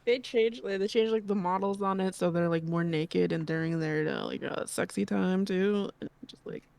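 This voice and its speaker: A woman on a panel chatting casually and asking questions in an informal, halting way. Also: on the low side, creaky, darkish tone, no echo.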